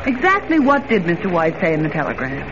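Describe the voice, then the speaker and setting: A woman's voice: on the low side, sing-song, fast. A woman playing an impatient, bossy, curious young newspaper reporter in 1940s radio drama, with an exasperated, scolding manner. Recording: muffled, noisy old radio recording.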